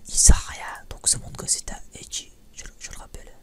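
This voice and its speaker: A man's voice: a little fast, pushed and bright. A man whispering breathily in a casual, unscripted ASMR style, rambling hesitantly with many false starts. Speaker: ASMR video creator.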